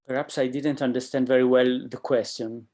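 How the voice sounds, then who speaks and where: A composer being interviewed over a video call, who speaks haltingly in non-native English and often stops to find the next word. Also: male voice, mid-range voice, level tone, darkish tone. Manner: halting, searching for words, non-native phrasing. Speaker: guest composer. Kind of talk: casual interview answers.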